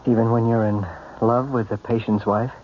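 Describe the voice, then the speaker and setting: An actor playing a jealous, self-pitying invalid husband, halting and sardonic, in 1970s radio drama style. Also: male voice, mid-range voice, level tone, close to an everyday voice. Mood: bitter, weary. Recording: dull, noisy radio drama recording.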